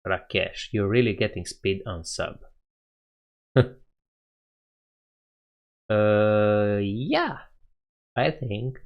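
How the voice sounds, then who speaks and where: A man's voice: fairly low pitch, big pitch swings, very slow, creaky, long pauses, muffled recording. A young man with a Romanian accent, casually chatting with viewers on a coding live stream, laughing often and thinking aloud.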